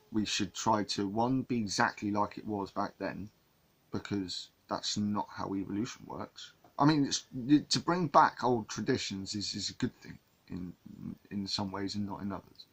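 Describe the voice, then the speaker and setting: A man's voice: fairly low pitch, husky. A man from England talking in a casual monologue to camera, rambling with many restarts and repeated words. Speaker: vlogger.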